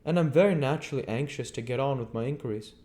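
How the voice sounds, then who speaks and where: A man voicing a brusque, imperious, secretive gentleman investigator in a storybook reading, with formal, emphatic phrasing. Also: mid-range voice, quick pace, voice pushed up, close to an everyday voice. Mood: brisk, insistent. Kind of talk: storybook character voice in an audiobook reading.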